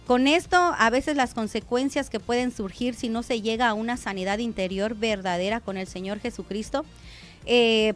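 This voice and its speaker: Woman pastor preaching on the radio. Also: on the high side. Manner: conversational preaching, addresses listeners as brother. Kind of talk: radio sermon.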